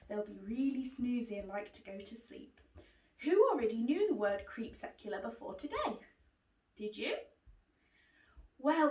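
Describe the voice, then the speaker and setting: A woman presents to young children in simple, encouraging language. Her voice is fairly high, with clear room echo and some distance from the microphone. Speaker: host of children's book club. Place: living room indoors. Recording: roomy echo, away from mic.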